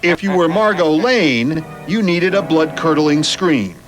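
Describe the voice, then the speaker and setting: A man's voice: on the high side, animated, measured pace. A man reading a scripted television feature as reporter, with a dramatic, playful storytelling delivery. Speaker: reporter narrator. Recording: broadcast voice-over over music. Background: music.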